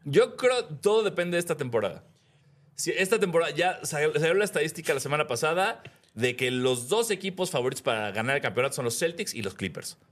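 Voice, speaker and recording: A man's voice: fairly high pitch, sing-song, a little fast, fairly bright tone. A man with a Mexican accent and an informal, slangy manner, chatting about sports as a podcast co-host. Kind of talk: casual sports chat.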